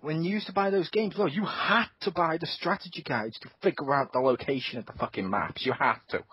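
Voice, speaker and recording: A man hosting a casual gaming podcast. He talks in an animated way, swears a lot and acts out his own reactions. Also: fairly high pitch, brisk, breathy edge, no room sound. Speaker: podcast host. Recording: dull lo-fi podcast audio.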